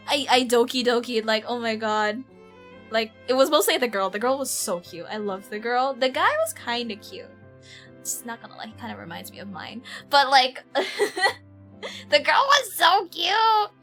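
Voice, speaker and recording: A woman livestreaming a game with casual, expressive, giggly chatter, often repeating herself. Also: sits high, normal speed. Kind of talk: casual chatty stream commentary. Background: faint game music.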